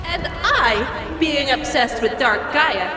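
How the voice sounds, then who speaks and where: Squeaky, echoing, obsessed girl hedgehog villain. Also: animated, a crowd in the background. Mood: gloating. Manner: theatrical, drawn-out gloating. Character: young female hedgehog, obsessed, taken over by dark energy. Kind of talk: fan audiobook voice acting. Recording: echoey hall reverb, music underneath.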